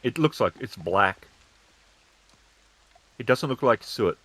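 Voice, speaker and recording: A man runs a tabletop game as its storyteller. He sets scenes descriptively at a slow, measured pace, with a mid-pitched voice. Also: animated, fairly bright tone, slightly rough, dry recording, rough recording.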